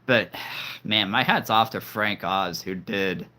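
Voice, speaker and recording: A man with a fairly low but bright, forward voice hosts a live stream. He talks in a loose, rambling way and keeps slipping in corny puns. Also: takes it slowly. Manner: rambling, jokey, punning. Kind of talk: casual live-stream chat.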